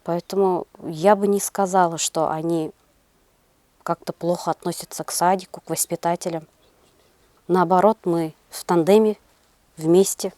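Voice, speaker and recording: A middle-aged woman answering interview questions in a measured, halting way, searching for her words as a teacher. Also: on the low side, fairly even intonation, mellow, no room sound. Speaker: interview guest, senior kindergarten teacher.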